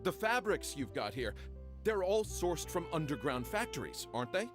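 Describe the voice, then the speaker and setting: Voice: mid-range voice, big pitch swings, normal speed, close to an everyday voice. An actor playing a gossiping, accusatory customer in a video game, pointed and insinuating. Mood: accusing. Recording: music behind the voice.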